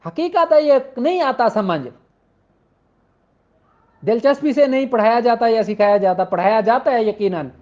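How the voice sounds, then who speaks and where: Male law teacher, notably high voice, informal and insistent explainer who restates each point, dull low-fidelity sound. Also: moderate pace, long pauses, darkish tone. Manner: emphatic, repeats points for clarity. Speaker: law lecturer. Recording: dull, lo-fi audio.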